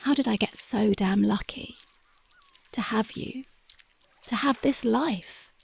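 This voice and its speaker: A dreamy young punk girlfriend from an ASMR roleplay, voiced by a woman. Her voice is gravelly and husky, and her words come unhurried and soft. She keeps sinking into close, devoted whispers. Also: fairly high pitch, heavily acted. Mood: tender, dreamy. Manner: soft, often drifting into whispers. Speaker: ASMR voice actress.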